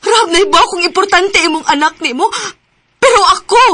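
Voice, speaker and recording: Heartbroken young woman, high bright voice, emotional, radio drama. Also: lively intonation, normal speed, no echo, clean studio sound, exaggerated voice. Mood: hurt. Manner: emotional, pleading. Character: young woman, hurt and anxious girlfriend.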